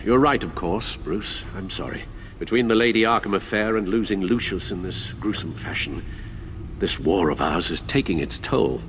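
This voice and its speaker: An actor playing a loyal, careworn older butler in a video game, formal and earnest in delivery. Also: male voice, sits low, moderate pace, noisy background. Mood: somber.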